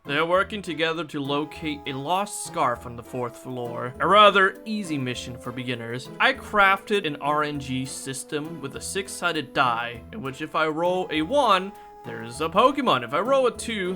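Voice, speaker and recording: Male storyteller host for tabletop gaming video: bright, forceful high voice, theatrical and playful delivery. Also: expressive, normal speed, faint music behind. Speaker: video host and game master. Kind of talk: animated scripted storytelling of a tabletop campaign.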